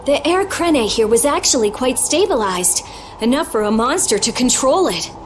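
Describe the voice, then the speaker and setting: A woman's voice: high voice, lively intonation, normal speed, slight background noise. A woman voicing an earnest, touchy youngster in a video game. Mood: thoughtful. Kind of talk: English video game dub acting.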